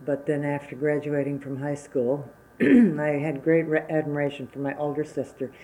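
A woman's voice: deep voice, normal speed, warm tone, poor audio. A woman in her seventies from Wisconsin whose first language was Czech, recalling her working life plainly and unhurriedly in an oral history interview. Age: 70s.

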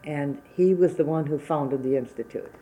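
A woman's voice: sits low, moderate pace, mellow, low-quality recording. A woman in her seventies from Wisconsin whose first language was Czech, recalling her working life plainly and unhurriedly in an oral history interview. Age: 70s.

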